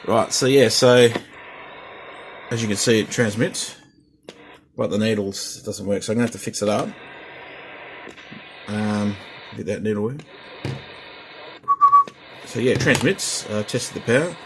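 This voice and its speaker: A man giving a casual, rambling, unscripted show-and-tell as a hobbyist, halting as he goes, with a slightly husky voice. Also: fairly low pitch, noisy background. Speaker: hobbyist presenter showing a restored CB radio.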